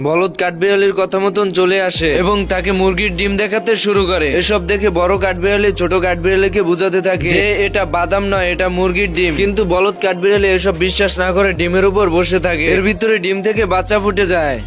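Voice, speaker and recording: A man's voice: sits high, flat delivery, fast, hardly any pauses, fairly bright tone, faint music behind. A man giving scripted narration of a cartoon story.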